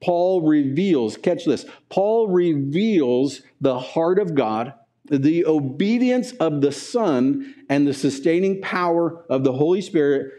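Mellow-voiced pastor teaching a sermon. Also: male voice, mid-range voice. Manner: conversational, animated gestures, asks the room questions. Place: church sanctuary, indoors.

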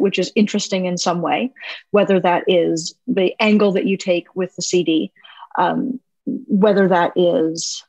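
A woman's voice: fairly low pitch, a little mellow, dry recording, highs cut off. A woman hosting an interview podcast, summing up her guest's points in an engaged, thoughtful way. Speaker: podcast host.

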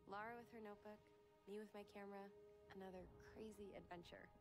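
A woman's voice: medium pitch, fairly even intonation, fast, lightly acted, rough recording. A young woman playing a bubbly, adventurous American filmmaker, reading a game journal in a playful, confiding way. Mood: playful, fond. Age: young adult. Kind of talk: video game journal voice-over. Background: music.

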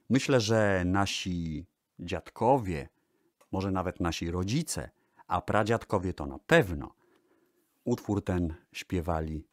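Slow, deep-voiced man presenting old records. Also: dry recording, studio quality. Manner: measured, pausing between phrases. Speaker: radio-style presenter of archival records. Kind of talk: live radio-style narration of old recordings.

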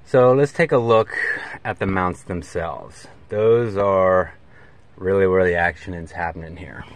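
Man, American accent, forties, lowish voice, casual how-to vlog, emphatic halting phrasing. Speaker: radio hobbyist video host. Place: inside a parked SUV.

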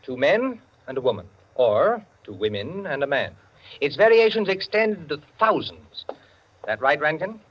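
A man's voice: on the high side, sing-song, a little slow, husky, heavily acted. A man playing a genial, talkative, pompous clubman in a 1940s radio play, full of fussy asides. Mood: pontificating. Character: genial, talkative clubman holding forth on storytelling. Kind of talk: 1940s radio drama acting. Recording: dull, noisy 1940s radio recording.

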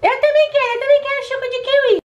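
A woman's voice: very high-pitched, fairly even intonation, quick pace, lo-fi sound, heavy background noise. A woman voicing a greedy, cheeky little girl doll in a playful doll storytelling video. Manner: playful, childlike chatter. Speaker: channel host voicing dolls.

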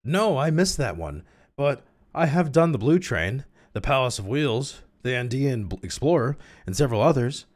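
Brief: man as woman travel writer in a comic story, raised pitch, breezy, proud, flirty. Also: very animated, moderate pace. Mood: bright, eager.

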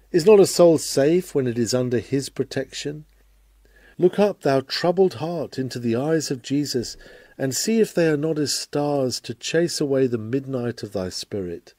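A man reading a scripted sermon aloud in a solemn, measured, oratorical manner. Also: mid-range voice, mellow, no room sound. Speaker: sermon reader.

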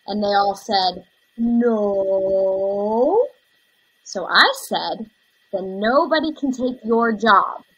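A young woman playing an earnest, caring elementary school teacher in a scripted dramatization, slightly breathy. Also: medium pitch, extremely slow, muffled recording. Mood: earnest, tender. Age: young adult. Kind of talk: scripted dramatization on video call. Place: indoors, plain backdrop, video call.

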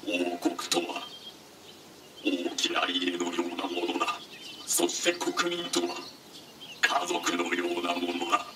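A man's voice: low-pitched, takes it slowly, vocal fry, pauses often, exaggerated voice. A man voicing a solemn, pompous machine lifeform with grandiose archaic speech, founding a kingdom in a game. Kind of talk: Japanese game voice acting. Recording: distant, echoing, noisy ambience.

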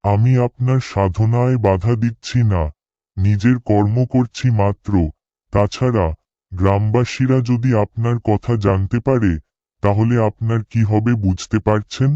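A man's voice: lowish voice, steady tone, measured pace, dark tone, no echo, highs cut off, close to an everyday voice. A man voicing a dutiful, earnest village cremation-ground worker in a horror cartoon. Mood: firm. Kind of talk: cartoon dialogue and spoken thoughts.

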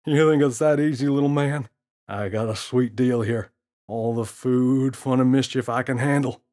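A man's voice: medium pitch, steady tone, normal speed, a little mellow, voice pushed up, lightly acted. A man voicing a cocky, mischievous talking gorilla with a slangy, teasing, swaggering delivery. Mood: smug.

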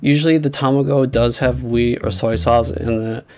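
A young man in his twenties giving relaxed, conversational tips to the camera from a small room at home, talking into a desk mic. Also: mid-range voice. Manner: relaxed, conversational, slangy, sometimes dry and drawn-out. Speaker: YouTuber giving food tips. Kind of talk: casual explainer talking to camera. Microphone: desk mic with furry windscreen. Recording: clear guitar music under the voice. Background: guitar music.

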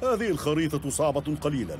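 A man's voice: a little high, very animated, quick pace, very noisy. A man voicing a confident, bumbling, good-natured father pig in a preschool cartoon, explaining things with self-assurance. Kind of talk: Arabic-dubbed preschool cartoon.

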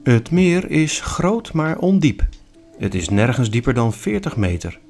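A man reading a scripted travel voice-over in an informative, steady way. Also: medium pitch, measured pace, faint music behind. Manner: informative, reads facts steadily. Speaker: vlog narrator.

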